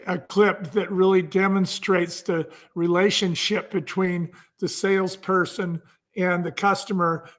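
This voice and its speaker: A man's voice: sits high, fairly bright tone. A man speaking as an entrepreneur guest, explaining conversationally with vivid examples.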